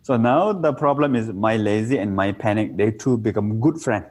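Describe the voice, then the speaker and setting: A man's voice: lowish voice, normal speed, darkish tone. A man from Nepal with a Nepali accent, telling stories about meditation in a playful interview, in simple, broken English. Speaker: meditation teacher. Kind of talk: relaxed interview conversation.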